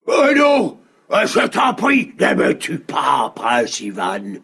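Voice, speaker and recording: An anxious, protective mother bear from a fairy-tale cartoon. The voice is gravelly and slow, with huge pitch swings as it pleads. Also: huge pitch swings, a bit bright, exaggerated voice. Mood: pleading.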